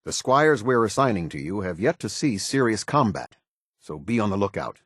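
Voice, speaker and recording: An American man playing a stern, formal military officer in a video game, speaking with husky, clipped, measured authority. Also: fairly low pitch, big pitch swings, fairly bright tone, no room sound, clean studio sound, full character voice. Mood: instructive, steady. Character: stern, formal male military officer of a post-apocalyptic order. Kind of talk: video game character dialogue.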